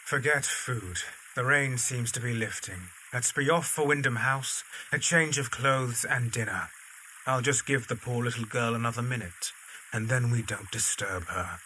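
A man acting a self-assured, considerate young gentleman painter in an audio drama, decisive and genteel. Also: medium pitch, moderate pace, a little hiss or hum, natural voice, low-quality recording.

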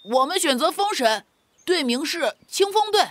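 A woman's voice: high-pitched, big pitch swings, normal speed, a bit bright. A woman playing an eager, impulsive schoolboy in a children's audio drama.

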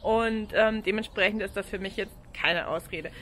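A woman's voice: mid-range voice, moderate pace, a bit bright, slight background noise. A woman in her forties with an Austrian accent, talking casually and frankly to the camera in a camper van, with frequent ums and small laughs. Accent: Austrian German. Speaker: vlogger. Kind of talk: casual vlog walkthrough. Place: in and around a camper van outdoors. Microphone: action camera held at arm's length.

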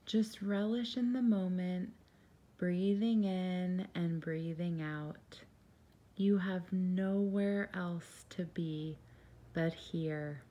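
A woman leading a guided meditation in a soft, soothing, gentle and unhurried voice, breathy at times. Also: fairly low pitch, fairly even intonation.

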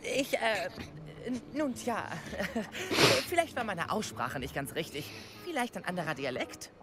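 An actor playing an eager, bookish young male wizard student in a German game dub, excitable and fussy about pronunciation. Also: sits high, a little slow, very noisy. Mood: flustered. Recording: game audio with loud music behind.

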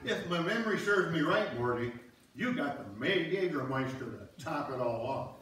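A plain-spoken fishing buddy, played by a man, heard from far off in a very echoey hall. His stage reading has lively, rising and falling pitch. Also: normal speed. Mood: matter-of-fact. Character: plain-spoken Green Bay man among fishing buddies. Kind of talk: staged reader's theater acting. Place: church sanctuary stage, far camera. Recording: very echoey church hall from far off, faint noise.

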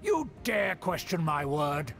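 A haughty aristocrat from a video game. His voice sits fairly high for a man and rises and falls dramatically. He speaks formally and pompously, with clear music behind him. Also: very animated, slow, a bit bright, exaggerated voice. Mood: indignant. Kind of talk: video game dialogue acting.

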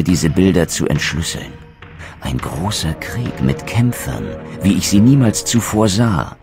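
Bitter undead wraith warrior, extremely deep voice, solemn, eloquent, theatrical, video game drama, faint music behind. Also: male voice, a little mellow. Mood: grave wonder.

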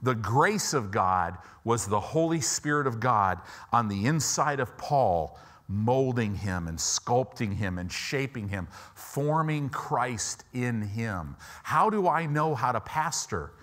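Male pastor, 50s, American, medium pitch. Conversational, emphatic preaching on a church stage with a lapel mic. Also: lively intonation, slow pace, few pauses, a bit bright. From United States, Chicago and Southern California. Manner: emphatic, repeats phrases for stress, rhetorical check-ins. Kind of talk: conversational sermon. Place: church auditorium stage, indoors.

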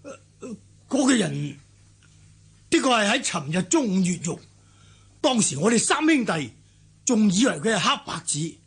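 An actor with a Hong Kong Cantonese accent playing an anxious, deferential old manor master in a radio drama, husky, hesitant and apologetic. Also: male voice, high voice, very animated, normal speed, pauses often, a bit bright, full character voice.

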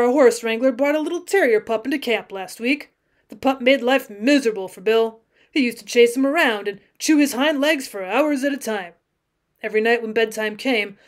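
A woman's voice: a little high, lightly acted. A woman voicing a young cowboy from a storybook, folksy, earnest and aggrieved as he bluffs. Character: young cowboy, mock-mournful, earnest, bluffing a tall tale. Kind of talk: acted storybook dialogue.

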